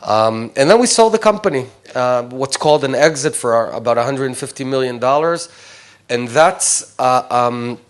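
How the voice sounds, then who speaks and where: Israeli man in his 40s, english isn't his first language, lots of uhs and restarts, mid pitch. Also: animated, moderate pace. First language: Hebrew. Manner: hesitant, many fillers and restarts. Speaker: speaker addressing visiting group. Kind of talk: informal personal talk.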